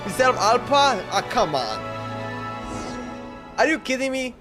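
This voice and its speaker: A man doing casual live gameplay commentary, informal and quick to exclaim as he reacts to the game. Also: extremely high pitch, very animated. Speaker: game streamer. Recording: game music behind the voice. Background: video game music.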